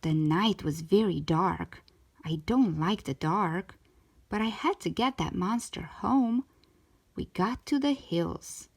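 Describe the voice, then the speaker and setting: A woman's voice: fairly low pitch, takes it slowly, husky. A woman narrating a children's book aloud as a storytime reader.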